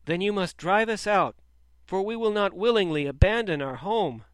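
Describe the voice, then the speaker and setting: A man voicing a gentle, sad antelope and goat who reason calmly. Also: high voice, normal speed, no room sound, voice pushed up. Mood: resolute.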